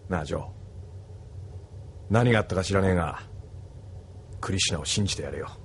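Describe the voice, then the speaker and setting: An actor playing a blunt, rough-spoken male pilot giving a friend frank advice. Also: low-pitched, brisk, slight background noise. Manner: blunt, rough casual speech. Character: rough-talking adult male pilot comrade, blunt and worldly.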